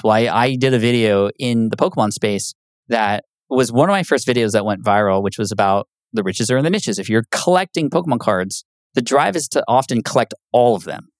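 Man, podcast guest, low-ish voice, dry close sound, earnest, patient, casual chat. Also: no echo. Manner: earnest, explains patiently with many fillers. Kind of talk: casual interview talk.

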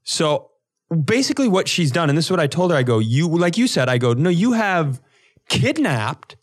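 A man co-hosting a podcast, telling comedic stories casually in an animated, sweary way and acting out dialogue. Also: a little high, normal speed. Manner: animated, profane, acts out quoted dialogue. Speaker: podcast co-host.

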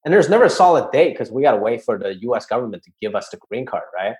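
Male comedian from Hong Kong, casual podcast storyteller, easygoing delivery at a mid pitch. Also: quick pace. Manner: relaxed, conversational, drops his voice for asides. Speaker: guest comedian.

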